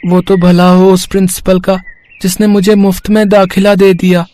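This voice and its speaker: A man's voice: sits high, level tone, normal speed, warm tone, lightly acted. A man voicing a kind, wise seven-year-old poor boy, a god in disguise, in a Hindi moral story, earnest and encouraging.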